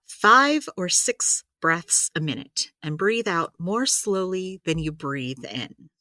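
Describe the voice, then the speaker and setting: Wry female healer, audio drama, slow-paced delivery, bright tone, close dry sound, chatty explainer. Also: on the low side, lively intonation, no echo, exaggerated voice. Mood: explaining. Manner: wry, explanatory, exasperated asides. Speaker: healer giving therapy advice. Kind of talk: audio drama dialogue, conversational.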